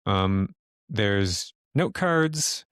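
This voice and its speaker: Guy game mastering a horror rpg, talks slow n halting, kinda low voice, dry sound. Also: lively intonation, fairly bright tone, clear, resonant tone, no echo. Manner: halting, thinking aloud. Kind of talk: improvised narration of a tabletop horror game.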